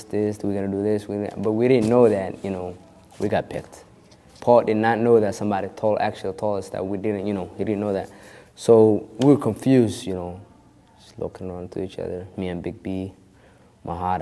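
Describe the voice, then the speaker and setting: A man's voice: lowish voice, little pitch movement, a little fast, mellow, long reverb tail. A young man born in Kenya of a Somali family, telling his story in an interview with lots of restarts and "you know". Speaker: actor interviewed.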